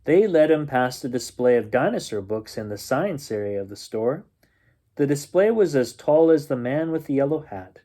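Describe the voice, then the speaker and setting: Animated man reading a bedtime story. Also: medium pitch, normal speed. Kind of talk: expressive children's picture-book reading.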